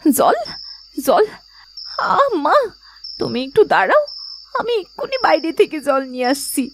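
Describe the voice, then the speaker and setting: Casting: earnest young village daughter in cartoon melodrama, high-pitched and animated, dignified but emotional. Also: female voice, a little slow, a little hiss or hum, full character voice. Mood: alarmed.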